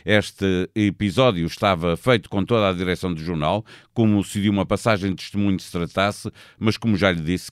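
A man hosting a news podcast as a journalist, reading a scripted introduction and asking questions with some halting fillers. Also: low voice, dry recording, clean studio sound.

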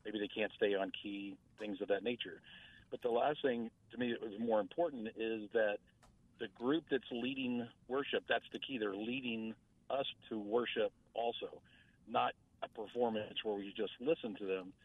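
A man's voice: on the low side, fairly even intonation, moderate pace, dry recording. A man calling in to a radio show, chatting in a friendly way with a big voice. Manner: friendly, chatty, laughs easily. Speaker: caller. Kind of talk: phone-in chat. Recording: tinny phone line.

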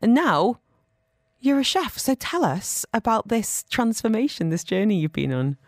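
A woman's voice: a little high, big pitch swings, moderate pace, dry recording. A woman presenting a radio food show and chatting in a studio with an effusive, emphatic way of talking. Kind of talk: radio presenting and interview chat.

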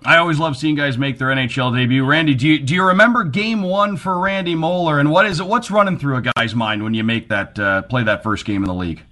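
A man's voice: a little high, fast, hardly any pauses, bright, forceful tone. A man hosting a sports radio show, talking conversationally as he puts questions to a guest.

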